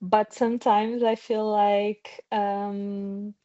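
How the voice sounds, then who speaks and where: A woman's voice: mid-range voice, flat delivery, very slow, dark tone. A woman whose native language is Portuguese, answering as a podcast guest over a remote video call, picking her words with some hesitation. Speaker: podcast guest, games industry business development manager. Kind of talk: interview answers. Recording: dull, lo-fi online call audio.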